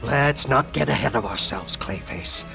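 An actor playing a suave, scheming villain leader in a video game. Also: male voice, medium pitch, expressive, a little slow, creaky. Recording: game audio with music. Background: music.

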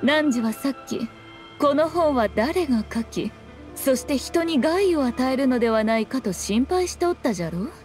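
A woman's voice: high voice, animated, normal speed, a little mellow, faint music behind. A woman voicing a proud, sly, ageless fox-spirit shrine maiden in an anime game, with haughty, old-fashioned speech.

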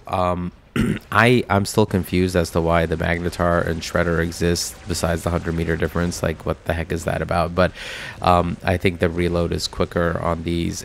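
A man's voice: low-pitched, vocal fry, a little hiss or hum. A man with a stuffy, nasal voice from a cold, giving rambling casual commentary over a mobile game. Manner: rambling, filler-heavy. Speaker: mobile game video host.

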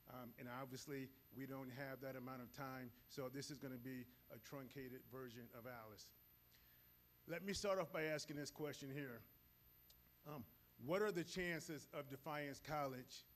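A middle-aged man delivers a live training talk in a big auditorium. His voice is loud and energetic, and he speaks into a handheld microphone while pacing the stage. He lands his points plainly and directly, at a medium pitch. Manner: energetic, moves around the stage while talking. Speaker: safety training facilitator. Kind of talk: live presentation to an audience. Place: large auditorium with audience.

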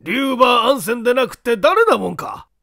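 A man voicing an indignant, suspicious castle owner with forceful old-fashioned speech in a storybook. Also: very high voice, big pitch swings, fast, gravelly, no echo, clean studio sound, pitched above the performer's own voice, heavily acted.

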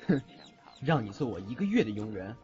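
A man's voice: fairly low pitch, big pitch swings, brisk, mellow. A man acting an aloof, grumbling young university student in an audio drama, with a blunt, exasperated manner. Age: young adult. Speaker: lead actor in a Chinese audio drama. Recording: slightly dull recording with music behind.

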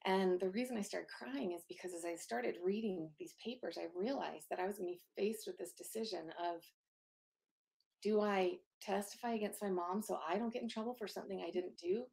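Soft-spoken woman, thirties, gravelly fry, candid storytelling, video call from home. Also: mid-range voice, fairly quick. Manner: open and earnest, many fillers. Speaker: guest. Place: home office.

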